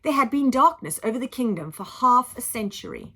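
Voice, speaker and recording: A woman reads a children's story in a lively, highly varied voice with a touch of huskiness. She leans on dramatic words and steps aside to explain them plainly, as a teacher would for young listeners. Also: fairly quick, slightly rough. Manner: animated, dramatic emphasis, explaining simply for children. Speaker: teacher reading aloud to children.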